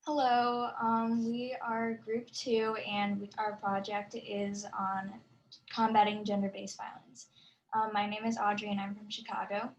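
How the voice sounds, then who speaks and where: A young woman presenting for her group, reading a prepared text at a steady, measured pace over a video call. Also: mid-range voice, muffled recording, slight background noise. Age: young adult. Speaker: youth ambassador presenter.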